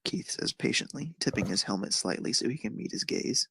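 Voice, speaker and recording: A man's voice: medium pitch, animated, fairly quick, creaky, sharp tone, breathy edge, dry recording. A man reading scripted narration of a romantic fan fiction story.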